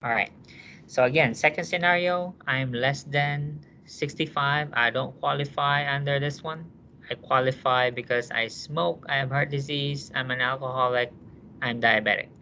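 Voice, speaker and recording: A male nursing instructor lectures in a bright, forceful voice. He works through cases by asking the class questions and checking that they follow. The classroom recording sounds dull and lo-fi. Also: medium pitch, steady tone, normal speed, a little hiss or hum.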